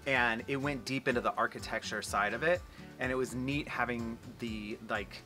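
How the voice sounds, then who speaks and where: A man's voice: mid-range voice, moderate pace, bright, forceful tone, soft background music. A man in his forties hosting a casual family travel vlog outdoors, chatty and rambling, joking and exaggerating. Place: outdoors on a patio.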